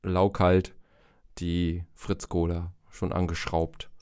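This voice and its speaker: A man's voice: sits low, monotone, slow pace, darkish tone, clean tone, no echo, studio quality. A man in his forties with a cold, chatting casually and rambling as a podcast host, laughing at himself. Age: 40s. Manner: rambling, self-mocking, laughs often. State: has a cold, coughing. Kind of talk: casual chat.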